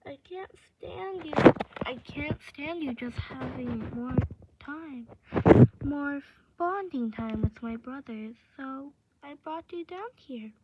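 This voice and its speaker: A woman's voice: high voice, lively intonation, slow. A woman voicing a possessive, lovesick male captor in an amateur audio roleplay, with syrupy, pleading delivery. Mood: possessive, brooding. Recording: dull audio with clear background noise.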